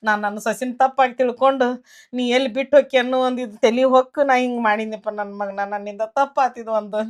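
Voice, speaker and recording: A woman's voice: fairly high pitch, fairly even intonation, lightly acted. A middle-aged woman with a North Karnataka Kannada accent, playing a jealous, possessive mother-in-law who scolds her son and daughter-in-law. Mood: remorseful. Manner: scolding, sharp, and quick to blame. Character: jealous possessive mother-in-law, quick-tempered, later remorseful. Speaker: actor. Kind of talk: village family drama acting.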